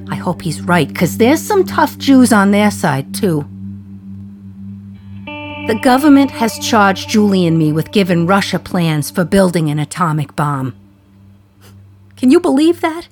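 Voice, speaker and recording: A woman's voice: medium pitch, a little mellow, close to an everyday voice. Defiant, wry New mother played by an actress, music behind. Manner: wry, emphatic, confiding storytelling. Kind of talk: dramatic radio play monologue.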